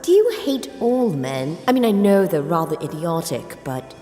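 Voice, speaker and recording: A woman's voice: lowish voice, very animated, moderate pace, warm tone. A woman voicing a sassy, catty, gossipy female adventurer in improvised tabletop roleplay, with an exaggerated drawl. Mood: curious, flattering. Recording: echoey hall, music underneath.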